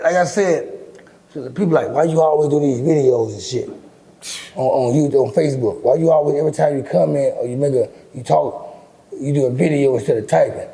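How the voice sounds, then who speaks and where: A middle-aged man with a deep, raspy voice, talking bluntly and with lots of swearing as an interview guest. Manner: blunt, profane, animated storytelling. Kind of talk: casual interview talk.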